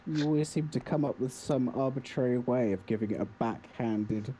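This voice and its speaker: A man's voice: mid-range voice, moderate pace, warm tone, a little hiss or hum. A man in a casual debate as a podcast co-host, teasing and blunt.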